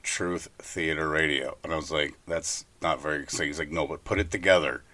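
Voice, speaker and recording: A man's voice: very deep voice, steady tone, fairly quick, pushed and bright. A man co-hosting a podcast, telling anecdotes in a casual, conversational way. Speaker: podcast co-host.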